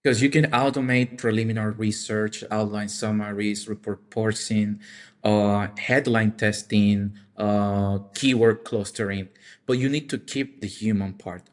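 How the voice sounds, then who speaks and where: A man co-hosting a casual podcast, speaking haltingly with repeated words and non-native phrasing. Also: lowish voice, steady tone. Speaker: podcast co-host.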